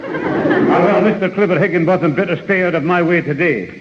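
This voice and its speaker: An actor playing a dry, gruff old grandfather in a 1970s radio sitcom. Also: high-pitched, fairly quick, close to an everyday voice. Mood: dry, grumbling. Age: older. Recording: echoey old radio recording, dull, hissy.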